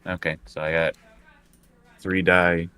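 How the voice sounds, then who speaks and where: Male tabletop player, deep and sharp voice, informal conversational delivery. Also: little pitch movement, slow, clean tone, dry recording. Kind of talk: casual game chat.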